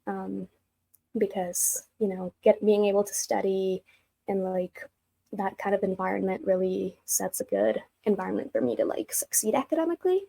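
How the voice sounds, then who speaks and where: A woman's voice: medium pitch, animated, normal speed, creaky, mellow. A young woman from Mexico, a student ambassador, talking casually and a little hesitantly on a video call. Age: young adult.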